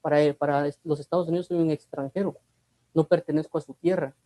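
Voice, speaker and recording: Preacher, Guatemalan accent, man, conversational and repetitive, warm tone, no room sound. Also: a little high, fairly even intonation, fairly quick, no echo. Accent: Guatemalan Spanish. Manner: conversational, repeats himself, asks rhetorical questions. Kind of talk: Bible teaching.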